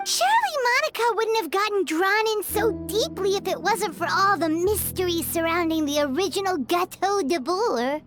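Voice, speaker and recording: A woman voicing a small, naive, excitable fairy companion in a video game, very expressive. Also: very high-pitched, normal speed, hardly any pauses, music in the background. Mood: disappointed. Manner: refers to self in third person, expressive. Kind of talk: video game character dialogue.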